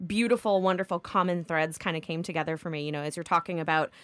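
A woman's voice: on the low side, fast talker. A woman hosting a radio interview, asking long, effusive, run-on questions. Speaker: radio host.

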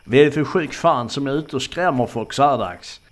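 A man voicing a hot-tempered young male camper who blusters and swears, slightly shrill and defensive. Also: lightly acted. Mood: angry, threatening.

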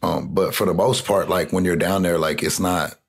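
A man's voice: deep voice, steady tone, moderate pace, gravelly, slightly rough. A young man from South Texas, a guest in a casual interview, rambling with lots of "like" fillers and swearing.

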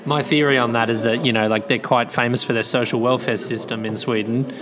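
A man's voice: medium pitch, steady tone, fairly quick, crowd noise. A man from Australia, hosting a casual interview in an easygoing, thoughtful way. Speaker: podcast host, interviewer.

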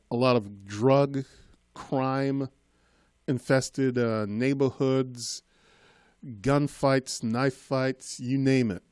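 A man's voice: medium pitch, a little mellow, dry recording, clean studio sound. A man in his fifties, raised in Boston after being born in Trinidad, telling his life story as a guest in an earnest, rambling way. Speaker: guest, school committee member. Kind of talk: casual interview.

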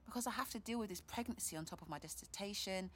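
A woman's voice: medium pitch, fast talker, fairly bright tone, close to an everyday voice. A woman playing an affectionate, devout young student and mother-to-be in a modern audio drama, speaking conversationally. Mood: tender. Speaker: actress in audio play.

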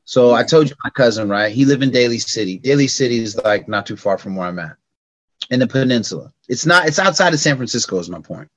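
A middle-aged man from California telling stories in a casual interview, slangy and animated, acting out quotes in a raised voice. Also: mid-range voice, quick pace, dry recording. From California, United States. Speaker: podcast guest.

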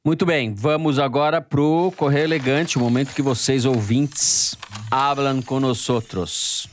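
A man's voice: mid-range voice, steady tone, measured pace, a little hiss or hum. A man hosting a panel podcast with a Brazilian Portuguese accent, reading listener mail and teasing his co-hosts. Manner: joking, teasing banter. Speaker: podcast host.

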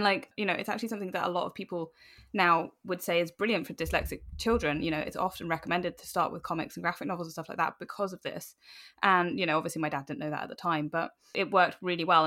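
A woman with a British accent chatting casually as a podcast guest, telling stories in an animated, informal way. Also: fairly low pitch. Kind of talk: casual chat.

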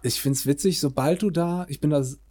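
A man's voice: fairly high pitch, fairly quick, a little mellow. A young man chatting as a podcast guest, amused and a little hesitant. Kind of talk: casual podcast chat.

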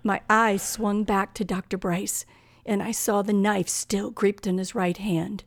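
A woman's voice: mid-range voice, little pitch movement, unhurried. An actress playing a terrified young woman recounting a night of terror in an old-time radio thriller, with breathless, dramatic phrasing. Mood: hushed dread. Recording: vintage radio recording with music behind.

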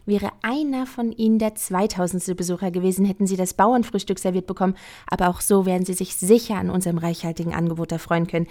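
A woman's voice: lowish voice, steady tone, fast, darkish tone, natural voice. A woman playing an officious, literal-minded small-town hotel receptionist in a German audio drama, formal and rambling with needless detail. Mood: formal, dutiful.

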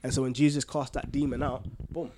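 A man's voice: mid-range voice, level tone, a little fast, background noise. A man co-hosting a podcast, talking informally with plenty of banter. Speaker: podcast co-host. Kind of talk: casual discussion.

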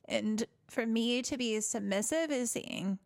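A loud, expressive woman hosting a faith podcast, her voice pitched fairly high and recorded dry and close. She laughs heartily and slips into little acted-out voices when quoting people. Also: unhurried, creaky, dry recording. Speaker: podcast host. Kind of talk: casual faith podcast chat.

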